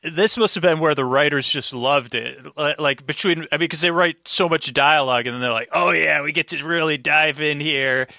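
A man's voice: fairly high pitch, normal speed, gravelly, few pauses, pushed and bright, dry recording, studio quality. A man guesting on a recap podcast, chatting casually and enthusiastically in rambling, half-finished thoughts. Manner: rambling, enthusiastic, quotes his own reactions.

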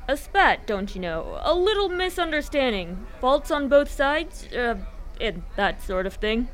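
A woman playing an excitable, bumbling young upper-class gentleman in a radio drama, full of flustered old-fashioned slang. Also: high-pitched, unhurried. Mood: awkward, probing.

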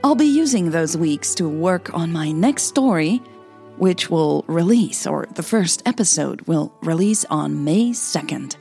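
A woman's voice: lowish voice, lively intonation, measured pace, vocal fry, mellow, soft background music. A woman reading scripted romantic fiction and podcast announcements as narrator and host. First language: Dutch.

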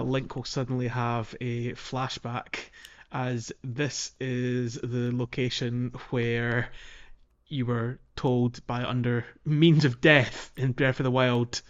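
A man's voice: mid-range voice, fairly bright tone, lo-fi sound. A man co-hosting a podcast, talking casually about a video game playthrough in a chatty, hesitant way. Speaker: podcast co-host.

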